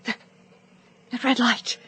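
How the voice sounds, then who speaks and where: A woman's voice: slow, bright, forceful tone. An actress in a radio drama playing a weary, frightened, guilt-ridden woman with a fearful way of exclaiming. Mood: hysterical fear.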